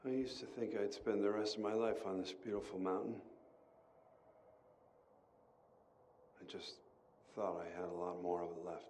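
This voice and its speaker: An actor playing a starving, despairing asylum inmate in a video game, speaking in halting, philosophical monologue with pauses. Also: male voice, low-pitched, steady tone, darkish tone, a little hiss or hum, natural voice. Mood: wistful, resigned. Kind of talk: video game dramatic acting.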